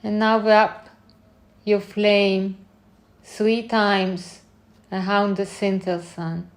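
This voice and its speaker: A woman guides a meditation at a very slow pace. She speaks gently in short phrases and leaves long pauses between them, with a mid-range pitch. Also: little pitch movement.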